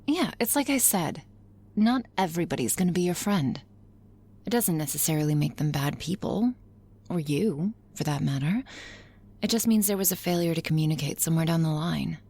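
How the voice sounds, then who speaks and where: A woman's voice: low-pitched, lively intonation, darkish tone, breathy edge, full character voice. A woman playing a blunt, confident female office boss who talks frankly and wryly in an intimate ASMR roleplay. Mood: frank, encouraging. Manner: frank, profane, wry, musing with hesitant pauses. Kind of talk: intimate ASMR roleplay, conversational monologue to listener.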